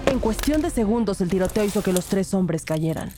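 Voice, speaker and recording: An actress playing a police investigator who narrates her case in a grave, measured, scripted audio-drama style. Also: mid-range voice, animated, loud music behind the voice, lightly acted. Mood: tense.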